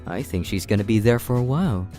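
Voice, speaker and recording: A man's voice: lowish voice, fast, dark tone, faint music behind, close to an everyday voice. A man voicing a laid-back, knowing male character in a cartoon dub. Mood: smug calm.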